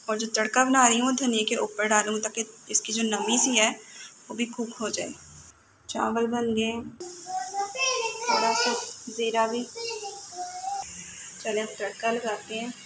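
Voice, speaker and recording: A woman vlogging at home, casually explaining her chores and cooking to viewers. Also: a little high. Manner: relaxed, explanatory, addresses viewers directly. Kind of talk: casual chat narrating daily chores and cooking. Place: home kitchen, indoors. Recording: noisy home recording.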